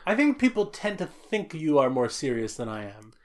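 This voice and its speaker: A man co-hosting a podcast. He reads a listener letter aloud, then chats casually in a loud, jolly way, often stammering and restarting. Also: fairly high pitch, sing-song, normal speed. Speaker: podcast co-host.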